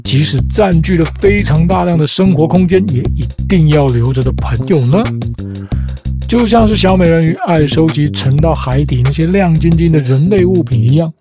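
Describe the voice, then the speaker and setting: A man hosting a children's show, explaining ideas in a calm, patient, teacher-like way. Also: fairly high pitch, normal speed, over music. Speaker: host, the show's dad figure.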